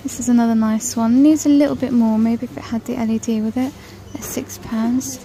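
A woman's voice: on the high side, steady tone, fairly quick, dark tone. A woman vlogging in a large store aisle, chatting casually and reading out prices as she browses. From United Kingdom. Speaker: shopping vlogger. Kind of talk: casual shop-with-me narration. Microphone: handheld phone camera.

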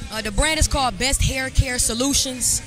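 A woman's voice: high voice, moderate pace, fairly bright tone, soft background music, some chatter behind. A woman in her twenties from Detroit, a barber talking confidently and casually about her hair products. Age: 20s. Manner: upbeat, confident, slangy. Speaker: barber, interview guest. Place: event backdrop at a barber competition. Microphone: handheld mic.

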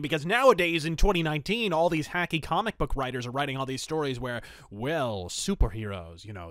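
A man hosting a podcast, giving an opinionated, conversational review in a casual, animated way. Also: a little high, no echo, clean studio sound. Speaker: podcast host reviewing pulp fiction.

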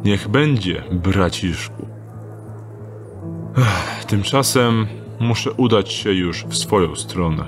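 A calculating rogue, voiced by a man, in an audio drama, speaking in a low, unhurried tone. He weighs every offer with dry skepticism. Music runs clearly beneath him. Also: animated. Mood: wary. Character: wary young male rogue Dieter, dry and calculating.

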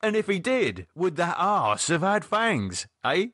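A man's voice: sits high, sing-song, a little slow, a bit bright, husky, no room sound, clean studio sound, pitched above the performer's own voice, heavily acted. A man voicing an irritated, sarcastic, no-nonsense man with a British accent and a dismissive, colloquial manner, as in an audiobook. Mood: mocking. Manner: dismissive, mocking, exasperated, colloquial. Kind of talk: audiobook character dialogue.

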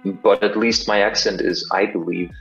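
A man's voice: lowish voice, steady tone, normal speed, lo-fi sound, soft background music. A young man from Denmark with a lightly Danish, somewhat American-sounding English accent, chatting casually over a video call in a thoughtful, self-deprecating way with many fillers. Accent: Danish, slightly American-sounding English. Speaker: guest partner. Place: small bright room indoors.

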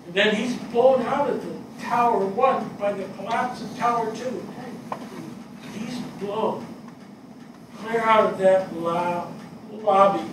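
An older man playing a grieving, bewildered fire captain in a staged dramatic reading, speaking plainly and haltingly. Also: high-pitched, recorded from a distance. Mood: intense recounting. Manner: plain-spoken, halting, searching. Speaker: actor in a staged play reading. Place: small indoor theatre stage before an audience. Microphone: distant camera mic. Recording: echoey stage picked up from afar, noisy.

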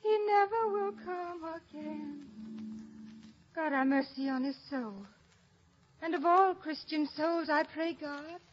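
Crazy grieving young girl in old radio shakespeare, high and slow, muffled recording. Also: slight background noise. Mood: mournful, broken. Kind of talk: 1950s radio Shakespeare acting. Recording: dull vintage 1950s radio recording.

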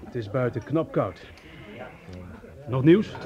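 An obliging, freeloading policeman in a radio drama. He talks slowly and hesitantly with a lively swing, in a muffled recording with a crowd behind. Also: mid-range voice. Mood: deferential, pleased. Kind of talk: Dutch radio play acting.

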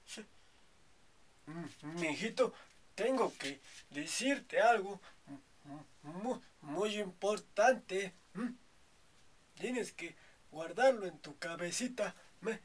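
A man's voice: high voice, lively intonation, takes it slowly, pauses often, slight background noise. A man playing a boastful, preachy old Mexican grandfather who rambles and keeps adding "hijo, ¿eh?", in a comedy sketch. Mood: solemn. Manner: rambling, tags nearly every phrase with "hijo, ¿eh?".

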